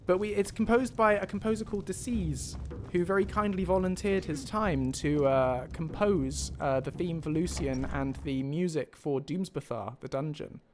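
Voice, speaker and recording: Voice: medium pitch, moderate pace, a little hiss or hum. A person live streaming, chatting casually and correcting themselves as they explain things, with game music behind. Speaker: live streamer, mod creator. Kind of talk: casual livestream commentary.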